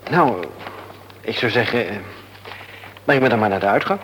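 A polite, mild-mannered uncle, voiced by a man in a radio drama. His voice sits at a middle pitch and stays courteous. Faint background noise runs under it. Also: lively intonation, normal speed, fairly bright tone, close to an everyday voice, poor audio. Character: polite, worried uncle of a sick girl. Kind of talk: radio play acting.

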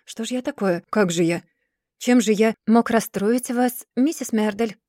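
A woman voicing a distracted, gloomy rich gentleman who mumbles and then rants in a storybook reading. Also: on the high side, measured pace, no echo, clean studio sound, close to an everyday voice. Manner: absent-minded, mumbling, then bursting into furious ranting.

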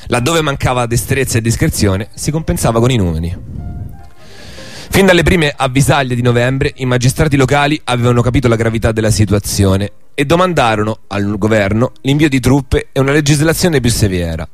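A man narrates a scripted documentary as a radio host. His pitch is average, and faint music plays behind him. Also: animated, fairly quick. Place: radio studio.

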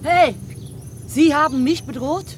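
A woman's voice: low voice, animated, a little fast, noisy background. A woman playing a persistent, indignant newspaper reporter in a German radio drama, challenging and confrontational. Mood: indignant, alarmed.

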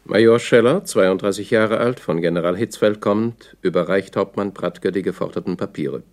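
A man making terse, formal scripted announcements in a radio play, speaking deliberately. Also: deep voice, darkish tone. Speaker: announcer of times and details.

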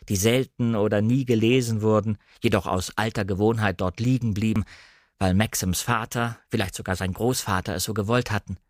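A man reading literary narration in standard German, with measured, carefully articulated storytelling. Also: on the low side, dry recording, clean studio sound. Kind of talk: scripted literary narration, audiobook-like radio reading. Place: dry studio.

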